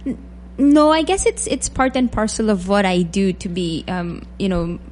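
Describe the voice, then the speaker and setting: A woman, a doctor answering questions in a radio interview in a measured, thoughtful way with frequent pauses. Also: mid-range voice, a little mellow, a little hiss or hum. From Philippines. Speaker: guest physician.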